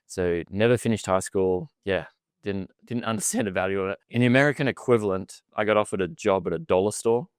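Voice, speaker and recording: A middle-aged Australian man with a fairly low, smooth and clear voice, recorded dry and close on a studio mic. He talks in a relaxed, chatty way, often repeating his words. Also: a little fast, no echo. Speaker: podcast guest. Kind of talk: casual storytelling interview.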